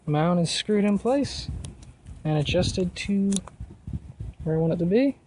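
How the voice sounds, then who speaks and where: A man giving a casual, hands-on do-it-yourself tutorial in a halting, thinking-aloud way. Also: high voice, expressive, darkish tone. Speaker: DIY car project vlogger. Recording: noisy recording with clicks.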